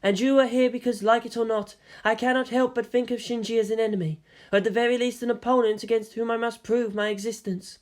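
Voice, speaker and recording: A woman's voice: fairly high pitch, fairly even intonation, fast, darkish tone, pitched above the performer's own voice, close to an everyday voice. A woman voicing a cold, fierce young female commander who talks in a curt, impatient, commanding way.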